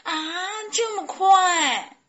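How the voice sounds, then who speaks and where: A woman voicing eager, excitable little chicks with childlike, exclaiming speech. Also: normal speed, highs cut off. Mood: eager, clamouring. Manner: exclaiming, high-spirited.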